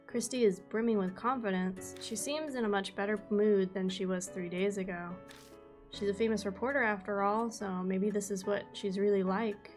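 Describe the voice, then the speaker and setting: A woman doing a deep-voiced young male investigator. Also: steady tone, moderate pace, darkish tone, soft background music, natural voice. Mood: solemn.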